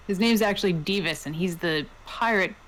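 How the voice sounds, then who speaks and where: A woman talks casually as a player in a tabletop roleplaying game. Her voice sits at a middle pitch and she moves in and out of character. A faint noise runs behind her. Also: normal speed, low-quality recording.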